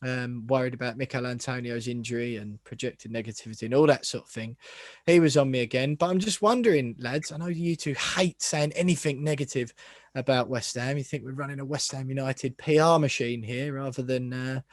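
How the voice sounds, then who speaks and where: Male podcast host, mid pitch, wry and teasing, rambling questions, dry sound. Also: brisk, dry recording. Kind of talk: casual football podcast chat.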